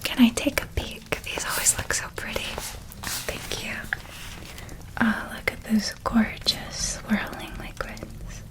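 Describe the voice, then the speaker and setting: Woman playing a kindly witch mentor, ASMR roleplay, hushed breathy whisper, slow unhurried delivery, tender and affectionate. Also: a little high, fairly even intonation, poor audio. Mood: admiring. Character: gentle, doting older witch woman helping with school shopping.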